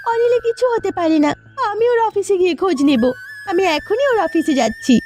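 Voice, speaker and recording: Woman doing young village girl, cartoon drama, super high n alarmed, headstrong, music under. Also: sing-song, fairly quick, darkish tone. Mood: alarmed, determined. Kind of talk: cartoon voice acting in melodrama.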